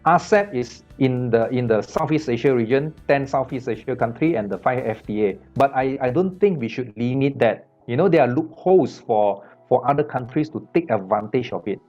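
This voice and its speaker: Halting, repetitive Malaysian businessman. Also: medium pitch, expressive, a little mellow, highs cut off, faint music behind. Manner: halting, many repeated words and fillers. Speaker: business panel guest. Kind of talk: casual panel conversation.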